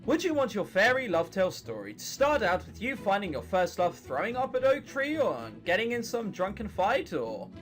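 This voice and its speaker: A man's voice: high-pitched, wildly swooping pitch, normal speed, few pauses, fairly bright tone, soft background music, full character voice. Man reading as a coaxing, romantic troll sister. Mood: teasing, dreamy.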